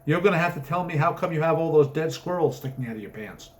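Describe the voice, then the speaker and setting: A man's voice: fairly high pitch, animated, fast talker, natural voice. Man reading as a breezy, wry woman driver. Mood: breezy, amused. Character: beautiful blonde woman driver, breezy, frankly curious.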